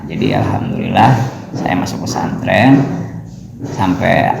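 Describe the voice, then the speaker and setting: A man, a religious teacher explaining things casually with pauses and repeated phrases. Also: low-pitched. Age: mid-30s. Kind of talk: casual interview answers. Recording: echoey room, clear background noise.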